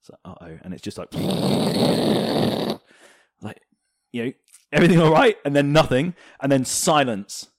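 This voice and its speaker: A man from Hong Kong chatting casually as an interview guest, telling anecdotes in an animated way. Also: mid-range voice, a little slow, dry recording. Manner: animated, chuckles as he tells anecdotes. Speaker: interview guest, former fighter pilot. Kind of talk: casual storytelling in a podcast interview.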